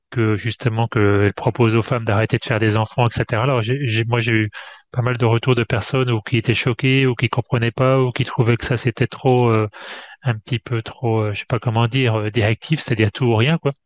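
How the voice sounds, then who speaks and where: A man hosting a conversational interview over a video call, speaking haltingly, with many hesitations and restarts. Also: medium pitch, no room sound. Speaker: host. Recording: dull, dry video-call audio.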